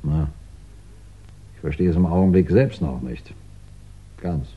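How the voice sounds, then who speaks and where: Pensive, deliberate police chief, muffled recording. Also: male voice, low-pitched, slightly rough, full character voice. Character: thoughtful police chief, measured, doubting, patient man. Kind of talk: 1960s German radio play acting. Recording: dull 1960s radio recording.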